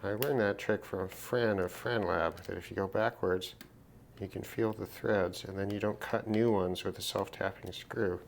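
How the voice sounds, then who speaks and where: A man casually narrating a hands-on repair demonstration, thinking aloud in an unhurried way as he works. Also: on the low side, vocal fry, a little hiss or hum. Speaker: repair video host.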